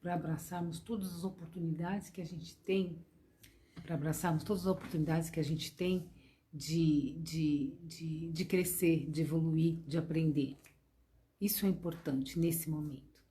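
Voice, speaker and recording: Hoarse Brazilian woman teaching gently. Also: low voice, fairly even intonation, unhurried. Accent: Brazilian Portuguese. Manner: gentle, repetitive, calls listeners "gente" and "meus amores". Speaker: spiritist course teacher. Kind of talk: devotional lesson to an online class.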